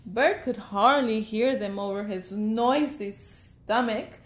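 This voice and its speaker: A woman reading a children's picture book aloud in an expressive, deliberate storytelling style. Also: a little high.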